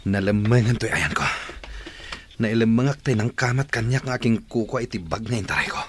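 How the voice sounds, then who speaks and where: A man's voice: lowish voice, fairly quick, noisy background, lightly acted. A man with an Ilocano accent playing a scheming young petty thief in a radio drama. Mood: breathless, relieved.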